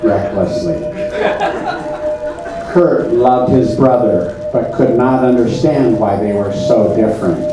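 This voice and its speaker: A man narrating a comedy puppet show on stage with mock solemnity, deadpan, pompous and halting, in a very echoey, distant recording. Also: fairly low pitch. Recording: very echoey, distant mic, music behind.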